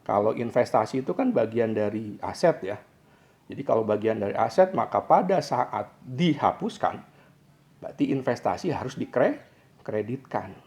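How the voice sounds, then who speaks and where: Indonesian man lecturing, very animated pitch. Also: moderate pace. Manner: didactic, asks and answers own questions. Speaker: accounting lecturer. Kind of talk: explanatory lecture.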